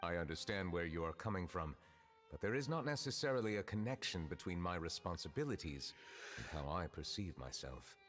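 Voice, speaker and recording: A very deep, measured male voice playing an ancient dragon turned judge, formal and solemn in every word. Loud music runs under him in the game. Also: animated.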